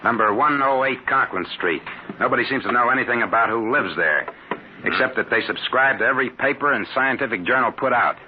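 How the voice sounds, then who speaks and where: A noisy, muffled vintage radio recording carries an actor as a hard-boiled American private investigator. He is a stubborn man who talks bluntly in tough slang. His pitch is middling, in classic radio drama style. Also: brisk, natural voice. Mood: businesslike. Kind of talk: old-time radio drama acting.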